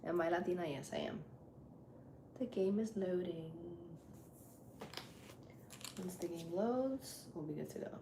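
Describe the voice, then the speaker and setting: A woman live streamer with a deep voice, chatting casually with her viewers while she sets up a game. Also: expressive, measured pace, pauses often. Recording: echoey room with loud background noise.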